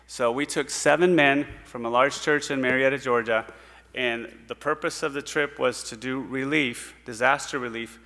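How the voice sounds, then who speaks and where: A middle-aged man from Puerto Rico tells a church congregation about mission trips, earnest and unhurried, with frequent "uh"s between thoughts. His voice is bright and forceful, with a long hall echo from the sanctuary around him. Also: mid-range voice, fairly even intonation. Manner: earnest, storytelling, pauses with fillers.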